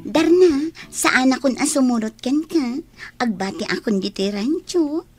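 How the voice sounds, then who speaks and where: A woman acting an ambitious, scheming, insistent young woman in Ilocano radio drama, with an Ilocano accent and a drawn-out emotional delivery. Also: high-pitched, expressive, breathy edge, soft background music, exaggerated voice. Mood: coy.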